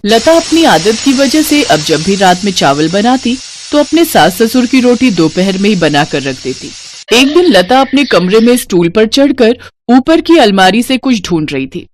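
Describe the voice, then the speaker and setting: A woman's voice: on the high side, big pitch swings, moderate pace, a little hiss or hum. A woman storyteller reading scripted narration of a moral tale.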